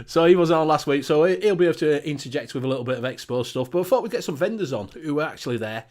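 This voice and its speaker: Northern english guy hosting a vape stream, kinda high voice, swears a lot, chatty. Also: a little mellow. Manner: swears freely, laughs, reads out viewer names. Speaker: show host. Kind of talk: casual live-stream chat.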